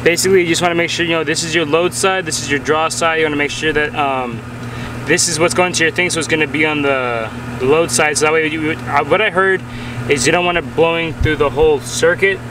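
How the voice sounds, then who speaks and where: A man with a somewhat high-pitched voice talks informally through a hands-on job, adding caveats as he goes. He is a little away from the microphone, with clear vehicle-like noise behind him. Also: fairly even intonation, brisk. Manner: informal, explains step by step with caveats. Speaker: friend demonstrating a CB radio install. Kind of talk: casual hands-on tutorial talk. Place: in and around a parked pickup truck. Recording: distant mic, clear noisy vehicle background.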